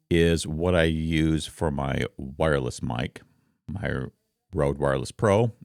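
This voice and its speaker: Male streamer, rumbling bass with gravelly creak, unhurried casual explaining, very dry close-mic sound. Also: no echo. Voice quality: very deep, rumbling. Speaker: content creator, streamer. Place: home office. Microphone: close studio microphone.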